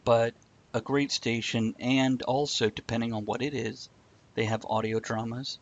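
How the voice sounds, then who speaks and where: A man's voice: medium pitch, flat delivery, moderate pace, highs cut off. A man hosting a live-stream talk show, chatting casually and stumbling over words now and then. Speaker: show host.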